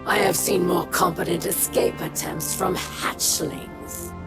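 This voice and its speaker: A scornful older alien captain speaks slowly in a lowish voice. She is a dry, withering, battle-hardened game character, with music behind her.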